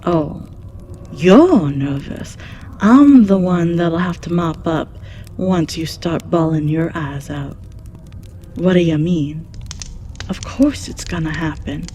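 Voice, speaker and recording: A woman as a slow, sardonic underworld keeper. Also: on the low side, warm tone, a little hiss or hum. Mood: scornful, exasperated. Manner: sardonic, drawling, brash. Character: jaded, foul-mouthed, mocking keeper of the underworld. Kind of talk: scripted audio drama monologue.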